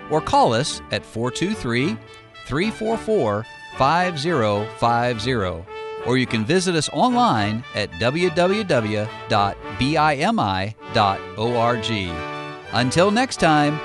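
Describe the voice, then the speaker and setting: A man reads the formal scripted opening and closing of a religious radio broadcast. His pitch moves in a lively, animated way. Clear instrumental music plays beneath his voice. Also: slow. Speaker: announcer, president of a mission board. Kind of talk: scripted program intro and outro.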